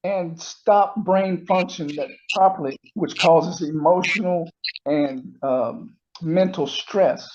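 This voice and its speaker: A man in his sixties talks in a fairly high voice through dull, muffled call audio. He is an agreeable interview guest who explains things casually, haltingly restarting as he goes. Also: darkish tone, slight background noise. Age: 60s. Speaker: interview guest giving testimonial. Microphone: remote call.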